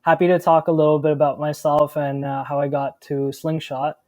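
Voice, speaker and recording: Young man from India, mellow and flat. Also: fairly high pitch, normal speed, no echo, clean studio sound. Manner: chatty, with frequent fillers and restarts. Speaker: startup founder guest. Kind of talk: casual interview.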